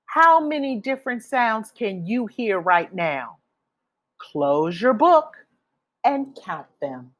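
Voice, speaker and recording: A woman reading a children's picture book aloud, with expressive, deliberate phrasing for young listeners. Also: fairly high pitch. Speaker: read-aloud storyteller.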